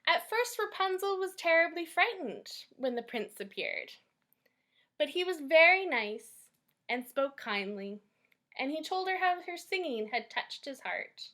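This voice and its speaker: Slow, high-voiced woman telling children's stories. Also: very animated, bright, forceful tone. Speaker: library storytime host. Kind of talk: children's storybook read-aloud and craft instruction.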